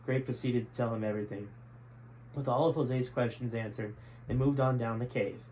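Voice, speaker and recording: A man's voice: medium pitch, fairly even intonation, moderate pace, darkish tone. A man narrating a story in an enthusiastic, dramatic storyteller style as he hosts a reading of his book. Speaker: host reading a chapter of their own book. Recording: clearly noisy background ambience.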